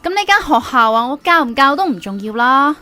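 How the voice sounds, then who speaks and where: A woman's voice: sits high, animated, brisk, bright, forceful tone. A woman acting in a Cantonese radio drama as a conflicted 24-year-old teacher, with a Hong Kong accent. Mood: defensive, indignant. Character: 24-year-old female teacher, engaged, conflicted, secretly involved with student.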